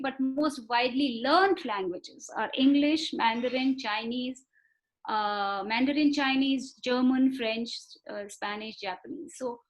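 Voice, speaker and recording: A woman giving a webinar lecture over a video call. She talks in a measured way, often repeating words for emphasis and pausing on fillers. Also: sits high, low-quality recording. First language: not Marathi. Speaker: webinar speaker on foreign languages. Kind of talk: explanatory lecture.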